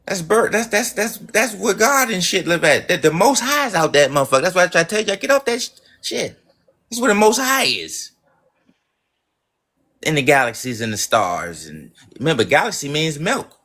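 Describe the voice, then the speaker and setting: A man's voice: on the high side, sing-song, normal speed, bright, forceful tone. Guy running a live stream, rambles and preaches, cusses a lot, trips over his words. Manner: profane, preachy and rambling, with many restarts. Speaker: livestream host. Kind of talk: rambling livestream monologue.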